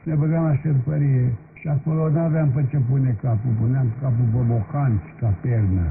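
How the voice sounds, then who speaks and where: A man's voice: mid-range voice, steady tone, a little fast, creaky. A man from Romania gives spoken testimony as a former prisoner, heard over shortwave radio. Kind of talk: spoken recollection in an interview. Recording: noisy narrow-band shortwave radio broadcast.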